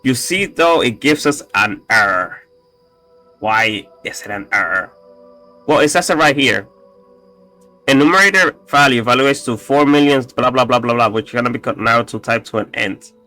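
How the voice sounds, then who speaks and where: A man casually walking through his code, halting often with fillers and restarts, with a slightly raspy voice and the odd swear. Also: mid-range voice, expressive, sharp tone, soft background music. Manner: halting, full of fillers and restarts, profane asides. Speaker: coding tutorial host. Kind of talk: casual screen-recorded coding walkthrough.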